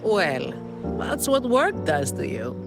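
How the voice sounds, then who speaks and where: Wry, work-weary young operative, music behind. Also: female voice, deep voice, sing-song, takes it slowly, vocal fry, exaggerated voice. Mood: wry, easygoing. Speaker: video game voice actor. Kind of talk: scripted game dialogue.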